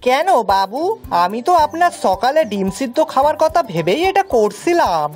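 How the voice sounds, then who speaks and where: A woman's voice: high voice, sing-song, moderate pace, darkish tone, soft background music. A woman playing a naive, eager young male village servant in a cartoon, with dialect and a deferential manner. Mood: earnest explaining. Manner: deferential, rustic dialect turns of phrase. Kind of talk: cartoon voice acting.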